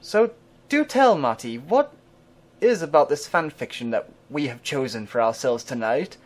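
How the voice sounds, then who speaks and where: A man's voice: medium pitch, lively intonation, moderate pace. A man hosting a casual reading podcast, wry and chuckling. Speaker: host.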